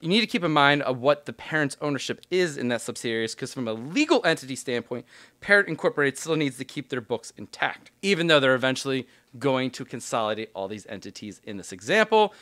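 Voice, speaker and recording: A man's voice: medium pitch, pushed and bright, dry recording, studio quality. A man giving an explanatory tutorial as an instructor, talking informally through worked examples step by step. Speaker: accounting instructor.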